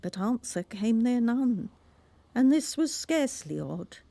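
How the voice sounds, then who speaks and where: A woman reading a children's poem aloud in a theatrical, measured storytelling manner. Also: a little high, very animated, gravelly, warm tone, no room sound. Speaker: poem reader. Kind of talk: expressive children's poetry recital.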